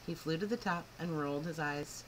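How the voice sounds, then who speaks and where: A woman reading a picture book aloud to children in an expressive, clearly phrased storytelling style. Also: sits low, brisk, a little hiss or hum, low-quality recording. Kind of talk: animated children's story narration.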